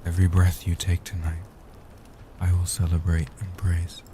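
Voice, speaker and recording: A man plays a gentle, age-old vampire guardian in a comfort audio roleplay. His voice is very low and extremely dark, hushed and soft-spoken. He speaks slowly, solemnly and soothingly in formal phrases, over faint background noise. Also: monotone, close to an everyday voice. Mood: tender, reassuring. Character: gentle protective ancient male vampire guardian.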